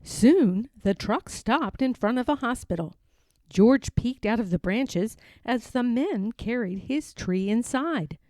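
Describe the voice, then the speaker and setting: A woman reading a children's picture book aloud in an expressive, sing-song way. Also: a little high, slow pace, dry recording. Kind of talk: storybook read-aloud.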